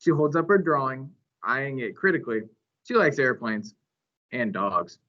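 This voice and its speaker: A man reading a children's story aloud with animated, dramatic phrasing. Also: mid-range voice, moderate pace. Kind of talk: expressive read-aloud narration. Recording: dull, lo-fi recording.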